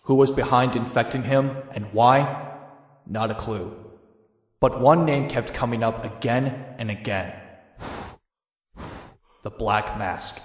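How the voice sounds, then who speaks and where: A man's voice: mid-range voice, takes it slowly, long pauses, lightly acted. Wry young antihero narrator played by a man, echoey. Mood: wry narration. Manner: wry, sardonic, conversational. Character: wry, brash young antihero narrating his crime story. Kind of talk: first-person scripted narration in motion comic.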